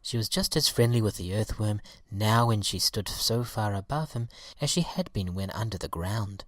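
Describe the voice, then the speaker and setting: A man reading a children's story aloud in an expressive, deliberate storytelling manner. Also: lowish voice, no echo, clean studio sound. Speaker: audiobook reader.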